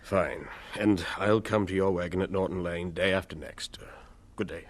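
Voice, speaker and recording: An actor playing a grave village doctor in a radio drama, firm, formal and instructive, with a husky voice. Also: male voice, deep voice, normal speed. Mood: instructing.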